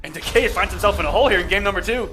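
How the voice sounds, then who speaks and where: A man doing excitable play-by-play commentary with technical breakdowns of a fighting game. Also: sits high, rapid-fire, faint music behind. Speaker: esports commentator.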